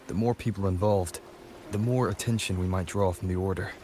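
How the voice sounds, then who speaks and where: A man playing a serious, guarded, level-headed hero in a video game, speaking in a measured, firm, matter-of-fact way. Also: low-pitched, steady tone, darkish tone, a little hiss or hum, close to an everyday voice.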